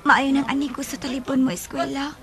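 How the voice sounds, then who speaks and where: A woman with a Filipino Cebuano accent playing a sweet, lovestruck high school girl in a radio drama, girlish and emotive. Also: little pitch movement, a little fast, crowd noise. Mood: wistful. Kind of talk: radio drama acting and first-person narration. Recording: dramatic music behind the voice.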